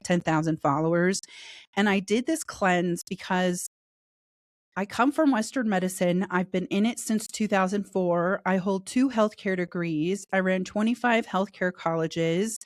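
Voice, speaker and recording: A woman guest with a raspy voice from a cold, telling her story in a candid, talkative way during a casual interview. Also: lowish voice, no room sound, clean studio sound.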